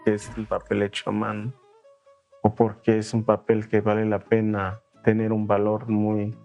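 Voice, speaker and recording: Gravelly flat-voiced man over guitar. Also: on the low side, lo-fi sound. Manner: halting, searching for words. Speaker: artisan interviewee. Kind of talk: documentary interview.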